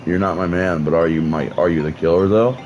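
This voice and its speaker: Low-voiced rambling male streamer. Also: brisk, darkish tone, highs cut off, slight background noise. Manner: rambling with many restarts, swears casually. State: losing his voice, mouth numb. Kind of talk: casual gameplay commentary.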